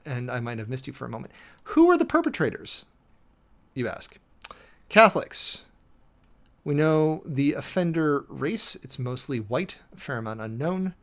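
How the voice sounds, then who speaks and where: Emphatic American man giving live commentary. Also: mid-range voice. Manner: emphatic, with dramatic stresses and deliberate pauses. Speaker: preacher and livestream commentator. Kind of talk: live commentary on a document and statistics, informal.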